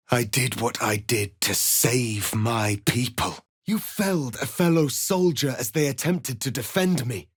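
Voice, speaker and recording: A proud warrior prince of an alien race in a dramatic fantasy game. He speaks very slowly, with solemn weight and formal, commanding phrasing. There is a grave, embittered nobility to him. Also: mid-range voice, a bit bright, husky. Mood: cold, disdainful. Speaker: video game character.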